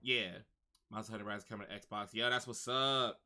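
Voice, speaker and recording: A man's voice: fairly low pitch, steady tone, moderate pace, bright, forceful tone, a little hiss or hum. A man chatting casually as he hosts a gaming stream, informal, friendly and slangy. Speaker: game livestreamer, host. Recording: faint game music behind. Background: game music.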